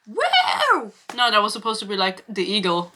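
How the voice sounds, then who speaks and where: A woman's voice: mid-range voice, huge pitch swings, moderate pace, pushed and bright, slight background noise. A young woman with a German accent, ranting sarcastically and swearing freely in a casual book review vlog to camera.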